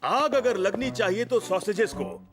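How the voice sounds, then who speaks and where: A bossy, boastful grown man in a kids' cartoon, pitched high with lively swings. He exclaims and hesitates as he lectures, over clear background music. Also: a little slow. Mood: instructive, eager. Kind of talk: animated children's cartoon dub.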